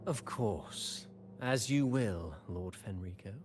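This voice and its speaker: Slow, theatrical male schemer. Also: lowish voice, expressive. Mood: mocking deference. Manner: theatrical, florid, measured, teasing. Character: theatrical, slyly mocking male schemer and storyteller. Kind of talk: dramatic video game voice acting. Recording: voice over game music.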